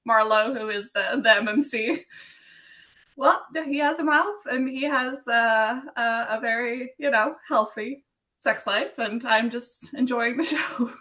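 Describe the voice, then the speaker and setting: A woman's voice: fairly high pitch, measured pace, sharp tone. A young adult woman from Sweden, an author chatting casually in a podcast interview, giggling often and using many fillers. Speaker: author guest.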